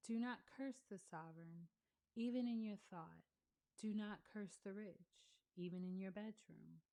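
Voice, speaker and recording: A woman giving a slow, measured read-along of scripture, pausing between phrases. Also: medium pitch, mellow. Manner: measured, deliberate reading with pauses. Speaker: scripture reader.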